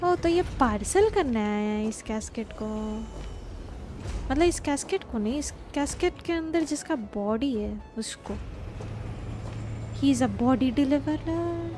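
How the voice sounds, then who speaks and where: A woman's voice: high-pitched, animated, very fast, noisy background. A woman with an Indian accent doing casual, informal live commentary as she streams a game. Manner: informal, mixes two languages. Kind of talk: casual live gameplay commentary, reading dialogue aloud and reacting. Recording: game music behind the voice.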